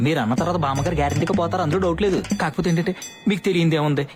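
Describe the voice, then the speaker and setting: Actor as a fast-talking, high-pitched fretful man. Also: over music, lightly acted. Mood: nervous, wheedling. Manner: nervous, repetitive, fussy complaining. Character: fretful, impatient, tactless relative awaiting an old woman's death. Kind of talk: film comedy acting.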